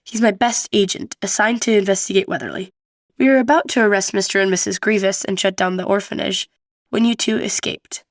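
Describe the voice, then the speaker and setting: A woman's voice: mid-range voice, fairly even intonation, fairly quick, dry recording, clean studio sound, pitched below the performer's own voice, close to an everyday voice. A woman voicing a stern male detective in a radio play, speaking in an authoritative, businesslike way. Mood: earnest.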